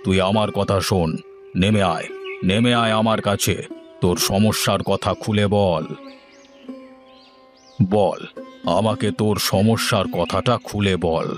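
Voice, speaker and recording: A man's voice: fairly low pitch, steady tone, unhurried, pauses often, close to an everyday voice. A man voicing a stern but kindly holy sage in a cartoon fairy tale, speaking in solemn, commanding, old-fashioned phrases. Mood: reassuring, wise. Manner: commanding, archaic solemn address. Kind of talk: animated fairy-tale voice acting. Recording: music behind the voice.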